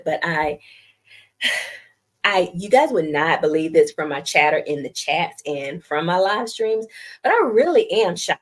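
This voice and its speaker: A middle-aged woman with a Southern US accent and a light, youthful voice, chatting casually with viewers on a live stream and laughing often. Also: deep voice. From United States. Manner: chatty, giggly, self-deprecating, reads viewer comments aloud.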